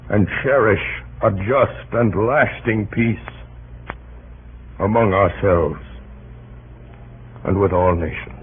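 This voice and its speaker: Earnest statesman, solemn oratory, very slow deliberate phrasing, old radio drama, tinny narrow-band recording. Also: medium pitch, husky. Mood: solemn, resolute.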